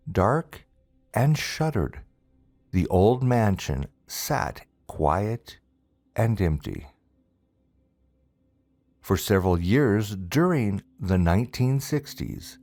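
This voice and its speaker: A man narrates a scripted ghost story as a storyteller, in a deliberate manner with dramatic pauses. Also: on the low side, a little mellow, dry recording. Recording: music bed behind the voice. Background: background music.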